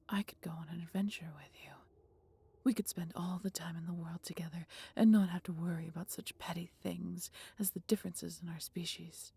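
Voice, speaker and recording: A woman voicing a gentle, wise dragon in an intimate audio roleplay, with a breathy, half-whispered voice and measured, formal phrasing. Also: fairly low pitch, no room sound. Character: gentle, wise female dragon, fond of her human. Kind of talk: slow, intimate roleplay acting addressed to listener.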